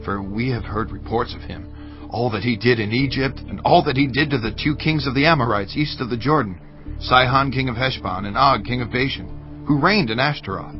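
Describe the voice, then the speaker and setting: Humble weary envoy, man acting medium-pitched. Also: brisk, muffled recording, faint music behind, natural voice. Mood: humble pleading. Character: weary male envoy, humbly pleading, from afar. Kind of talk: dramatized audio Bible acting.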